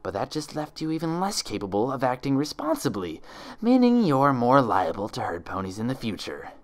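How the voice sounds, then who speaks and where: A man voicing a cruel, mocking female impostor pony who speaks with slow disdain in a story reading. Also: medium pitch, huge pitch swings. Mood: cruel taunting. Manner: disdainful, slow taunting, hissing.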